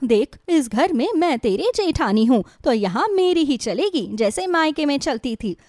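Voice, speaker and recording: A woman's voice: sits high, animated, normal speed, darkish tone, no echo, studio quality. A woman voicing a haughty, cruel young elder sister-in-law in a moral storybook, bossy, taunting and scornful. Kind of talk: dramatized Hindi moral storybook.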